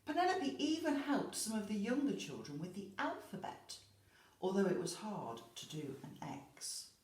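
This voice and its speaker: A woman teacher reading a children's storybook expressively, pausing to explain words simply to young listeners. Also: mid-range voice, expressive, a little mellow. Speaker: infant school teacher. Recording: echoey room.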